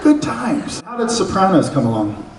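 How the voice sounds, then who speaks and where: A man's voice: high-pitched, sing-song, normal speed, a little mellow, a little hiss or hum. A man conducting a casual interview, asking short questions and reacting with easy laughs. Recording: echoey convention room, mic at a distance.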